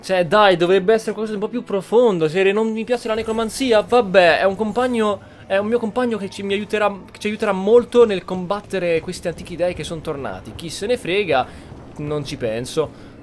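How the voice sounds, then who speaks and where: A man with a high voice and an Italian accent plays through a game and comments casually as he goes. His delivery is informal and a little sweary, with faint music underneath. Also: fast. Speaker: game streamer, host. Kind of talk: casual gameplay commentary with roleplay.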